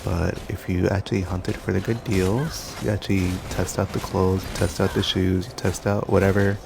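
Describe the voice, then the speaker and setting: Man, young adult, rambling gameplay talk, meandering, low voice, noisy recording. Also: flat delivery, moderate pace, creaky. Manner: loose, meandering, filler-heavy storytelling. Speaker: gaming commentator. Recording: background noise.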